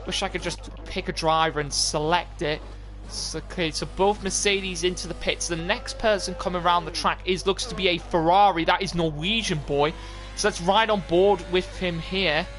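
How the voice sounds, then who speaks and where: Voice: medium pitch, fairly even intonation, normal speed, a bit bright, soft background music. A commentator giving live play-by-play of an online motor race on a stream. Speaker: esports racing commentator.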